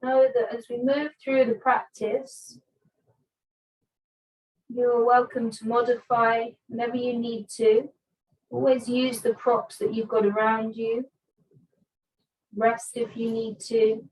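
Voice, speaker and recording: A woman with a fairly high voice leads a restorative yoga class. She speaks very slowly and soothingly, leaving long, calm pauses between her gentle instructions. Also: poor audio. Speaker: yoga teacher.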